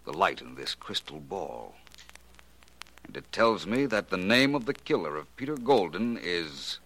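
An actor playing a shrewd, self-assured private detective in a theatrical, deliberate 1940s radio drama style. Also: male voice, deep voice, big pitch swings, a bit bright, exaggerated voice. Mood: commanding, suspenseful. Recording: noisy old 1940s radio recording.

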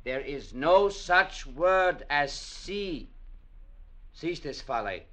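An actor playing a stern, imperious blind villager, declaiming in mid-century radio drama style. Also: male voice, a little high, very animated, very slow, a bit bright, full character voice. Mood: stern, scolding. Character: stern, commanding blind villager, scornful of sight. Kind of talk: 1940s-50s radio drama acting. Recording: old radio drama broadcast.

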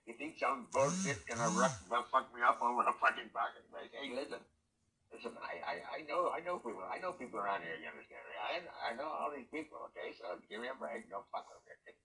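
A foul-mouthed, swaggering old-school movie producer, as a man puts him on, all bluster and tough-guy boasting. His voice is bright and sharp, and it sounds set back from the mic. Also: medium pitch, expressive, fairly quick, recorded from a distance, pitched above the performer's own voice, poor audio. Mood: defiant. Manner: profane, blustering, streetwise swagger.

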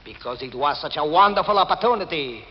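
An actor playing a vengeful, sardonic villain in a 1940s radio mystery, muffled and wrapped in long reverb. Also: male voice, fairly high pitch, animated, fast, slightly rough. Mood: gloating. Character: vengeful, sardonic man back from feigned death.

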